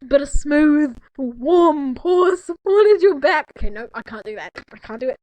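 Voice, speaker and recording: A woman's voice: high-pitched, lively intonation, moderate pace, a little mellow, dry recording. A woman reading a story aloud in a mock-dramatic way, giggly and breaking off to react in casual disbelief. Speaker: co-reader in a fanfiction reading video.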